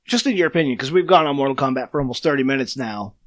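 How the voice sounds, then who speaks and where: A man's voice: medium pitch, animated, racing, dry recording, studio quality. A man with a smoker's voice hosting a casual gaming podcast, crude and sarcastic. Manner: crude, sarcastic, riffs and laughs. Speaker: podcast host.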